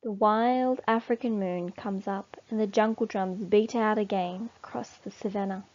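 A woman reading a children's storybook aloud expressively, with deliberate emphasis. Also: medium pitch, fairly even intonation, a little mellow, highs cut off. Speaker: storybook reader.

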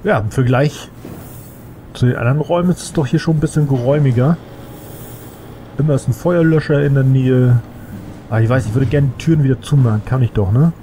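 A man with a cold talks loosely through a game, thinking aloud and rambling between thoughts. His voice sits in a middle pitch. A clear hum runs under the home recording. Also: fairly quick, pauses often. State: has a cold. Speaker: let's play gamer. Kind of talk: casual gameplay commentary.

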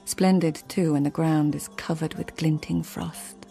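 A woman's voice: deep voice, fairly even intonation, a little slow, gravelly, warm tone, faint music behind. An actress playing a witty, well-read lady of an old Japanese imperial court in a radio drama, poised and lyrical. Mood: lyrical, reflective. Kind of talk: radio drama acting and literary narration.

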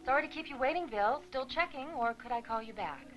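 Thirties female sales professional, fairly high voice, earnest, persuasive, 1980s film soundtrack. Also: animated, fairly quick, dull audio, over music. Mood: apologetic, eager. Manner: businesslike, eager to please. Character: earnest, persuasive young businesswoman in phone sales.

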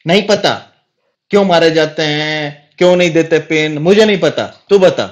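A man's voice: a little high, normal speed, dull audio, lightly acted. A man playing an indignant middle-aged uncle in a comedy sketch, scolding and incredulous at a prank caller. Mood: exasperated.

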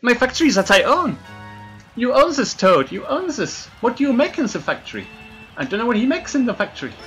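Guy doing a silly fake french accent over a kart race, high bright voice, faint music behind. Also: brisk. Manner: excitable, hammy, exclaiming and laughing at his own jokes. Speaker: let's play gamer. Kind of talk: comic gameplay commentary in a put-on accent.

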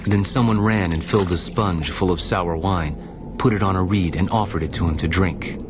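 Very deep-voiced male scripture narrator. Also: moderate pace. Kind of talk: solemn dramatized scripture narration. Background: music.

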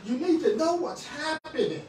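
A middle-aged man preaching a sermon in a small church, swinging from shouts to quiet talk with many restarts. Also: very high voice, sing-song, moderate pace, faint music behind. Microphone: far camera. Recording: echoey room, far from the camera.

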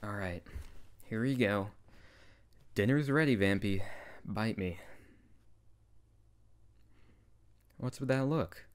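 A man's voice: lowish voice, a little slow, clean tone, lightly acted. A man playing a young tsundere wizard who is bossy, exasperated and caring, in an intimate ASMR roleplay. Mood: grudgingly caring. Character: tsundere young male wizard, scolding but tender best friend. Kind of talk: intimate ASMR audio roleplay addressed to the listener.

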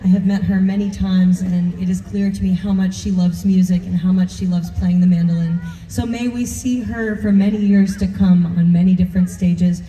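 A woman's voice: fairly low pitch, fairly even intonation, moderate pace, hardly any pauses, mellow. A woman announcing a giveaway winner from a festival stage, earnest and formal. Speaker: band member presenting a giveaway. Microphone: stage microphone, filmed from the crowd. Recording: echoey PA with long reverb.

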